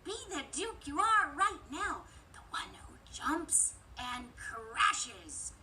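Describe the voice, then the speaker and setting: A woman playing a bold, confident shepherdess toy in an animated story, brisk and commanding. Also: high-pitched, a little hiss or hum, exaggerated voice. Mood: encouraging. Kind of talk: animated film read-along dialogue.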